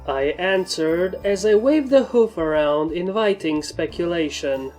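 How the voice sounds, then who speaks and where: A woman's voice: on the low side, lively intonation, unhurried, darkish tone. A woman giving a scripted narration of a story. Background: background music.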